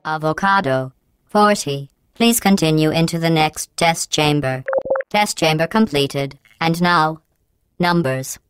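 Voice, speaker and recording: Low-voiced female facility AI, robotic filter, deadpan, mock-polite, crisp, dry close sound. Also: slow pace, no room sound, poor audio. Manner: deadpan, mock-polite, monotone announcer delivery. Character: cold, passive-aggressive female AI running a test facility. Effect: synthetic robotic voice processing. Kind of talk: video game voice acting.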